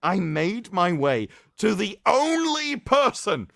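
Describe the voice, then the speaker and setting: A man hosting a livestream, reading aloud in a mocking, theatrical way with sarcastic asides and drawn-out emphasis. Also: high-pitched, big pitch swings, gravelly, fairly bright tone, no echo, studio quality. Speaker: livestream host. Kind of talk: mocking dramatic reading of an article with commentary.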